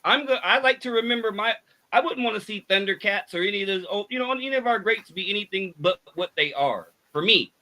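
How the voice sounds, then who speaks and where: A man in his forties with a high, bright voice, talking in a casual podcast chat. He is opinionated and keeps circling back to his point. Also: lively intonation, fairly quick, poor audio. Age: 40s. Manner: opinionated, repeats himself.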